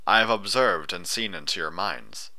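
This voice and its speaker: A man's voice: low-pitched, animated, moderate pace, pushed and bright, full character voice. A man voicing a dispassionate ghostly unicorn spirit, speaking in solemn pronouncements for an audiobook story. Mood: flat, dispassionate. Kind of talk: audiobook character voice.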